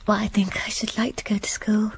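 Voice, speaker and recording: An actress playing a timid, unhappy ten-year-old orphan girl in a radio drama, speaking softly and plaintively. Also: mid-range voice, completely flat delivery, fairly quick, creaky, whispered, natural voice. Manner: soft, hushed and plaintive.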